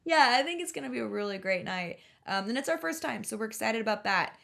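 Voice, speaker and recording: A woman's voice: fairly high pitch, lively intonation, fast talker, vocal fry, a bit bright. A woman hosting a podcast, chatting casually in an informal, rambling, enthusiastic way. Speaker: podcast host. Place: podcast recording.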